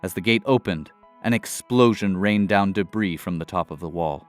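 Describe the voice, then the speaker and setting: A man narrating a scripted fantasy adventure in a dramatic, measured storytelling manner. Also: low-pitched, soft background music.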